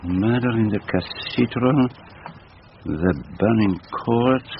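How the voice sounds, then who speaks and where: An actor playing a shrewd, fastidious older detective with a French-speaking Belgian accent, musing precisely in a radio drama. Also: male voice, fairly low pitch, gravelly. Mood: musing, puzzled. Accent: French-speaking Belgian, acted. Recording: dull, noisy radio drama recording.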